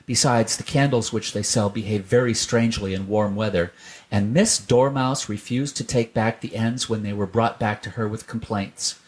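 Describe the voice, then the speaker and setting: A man's voice: fairly low pitch, fairly even intonation, normal speed. A man narrating a children's story as a scripted storyteller.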